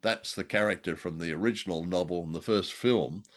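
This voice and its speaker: A man's voice: low voice, fairly quick. An Australian man co-hosting a casual radio chat show, speaking in a wry, jovial, hesitant and wordy way. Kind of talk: casual conversational review of old radio drama.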